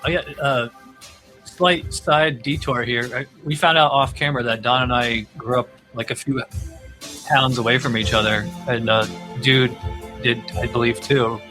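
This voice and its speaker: A man's voice: medium pitch, steady tone, normal speed, pushed and bright, faint music behind. A man hosting a podcast in casual, conversational chat. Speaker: podcast host.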